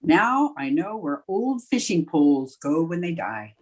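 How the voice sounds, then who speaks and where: A woman's voice: low-pitched, very animated, unhurried, darkish tone, exaggerated voice. A woman playing a wry, self-important schoolteacher with a dry, wisecracking delivery in an old-time radio comedy. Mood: deadpan, grand. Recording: remote video-call recording.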